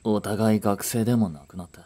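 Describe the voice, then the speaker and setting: A man's voice: on the low side, steady tone, a little fast, mellow, natural voice. A man playing a frustrated young working boyfriend in a romantic audio drama, speaking in blunt, casual masculine language. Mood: subdued, hurt. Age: young adult.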